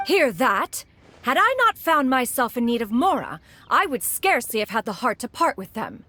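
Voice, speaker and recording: A woman's voice: fairly high pitch, very animated, moderate pace, bright, forceful tone, no echo, heavily acted. A woman voicing a proud ancient crane spirit in a video game, speaking in a stately, archaic and haughty way. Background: game music.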